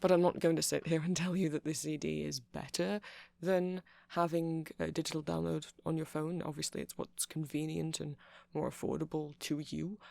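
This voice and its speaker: A man playing a nerdy male vampire in an ASMR roleplay, rambling haltingly and passionately to a listener as he explains things. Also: on the high side, vocal fry, no room sound. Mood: conceding, earnest.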